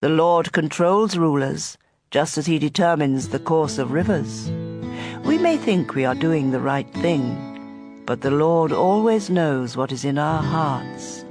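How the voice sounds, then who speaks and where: Slow-paced narrator, medium pitch, measured scripture reading, clear instrumental music behind. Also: animated. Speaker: audio Bible reader. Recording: music bed under voice.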